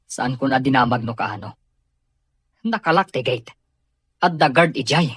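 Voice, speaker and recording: A woman's voice: extremely low pitch, expressive, moderate pace, a bit bright, husky, no echo, clean studio sound, heavily acted. A woman with a Filipino accent playing an exasperated, defensive underling in an audio drama. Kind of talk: Ilocano audio drama acting.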